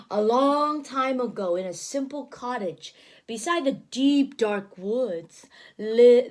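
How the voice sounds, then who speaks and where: High voiced lady teacher doing a kids read-aloud, slow n super expressive, lots of gasps and questions. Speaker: teacher reading a picture book aloud to a child. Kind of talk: animated children's read-aloud with explanations.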